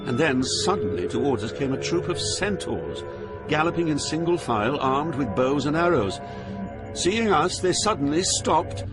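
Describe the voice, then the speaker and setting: An actor playing an awed poet pilgrim, narrating a journey through Hell in a solemn, dramatic style. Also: male voice, a little high, lively intonation, normal speed, close to an everyday voice, rough recording. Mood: awed alarm. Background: music.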